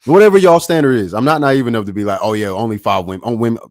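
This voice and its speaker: Give a man in his late twenties debating casually as a podcast panelist. He is argumentative and slangy, often repeating a point, and the sound is dry and close with a medium pitch. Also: rapid-fire, darkish tone, no room sound. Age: late 20s.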